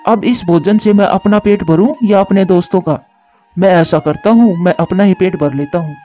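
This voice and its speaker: A man's voice: high voice, normal speed, warm tone, lightly acted. A man voicing a selfish, sly, lazy male crow in a children's story cartoon. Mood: scheming. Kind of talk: Hindi children's moral story cartoon dialogue. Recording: dull lo-fi track with music.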